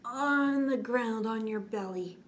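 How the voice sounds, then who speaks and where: A woman in her forties hosting a children's bedtime story time, expressive, drawing out words and often dropping to a hushed, whispery tone. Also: fairly high pitch, takes it slowly. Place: indoors, small curtained set. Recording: clear background noise.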